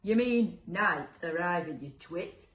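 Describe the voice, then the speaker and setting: A woman's voice: fairly low pitch, animated, slow pace, slight background noise. A woman voicing a scornful male storybook monster, blunt and emphatic. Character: second monster, male, gruff, scornful, insulting. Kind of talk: children's storybook character voice. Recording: roomy, echoey sound.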